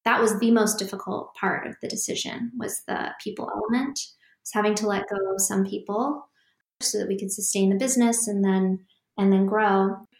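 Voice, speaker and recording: A calm, even-keeled woman reflects on her path as a founder during a podcast interview. Her delivery stays fairly flat in pitch, and the recording picks up a roomy echo. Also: moderate pace, gravelly. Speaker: startup founder guest.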